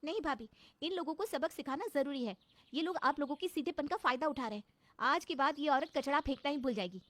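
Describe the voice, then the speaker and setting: A woman playing a bold, sharp-tongued young daughter-in-law in a cartoon moral story, scolding and outspoken. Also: high voice, brisk, pitched above the performer's own voice, close to an everyday voice. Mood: fierce.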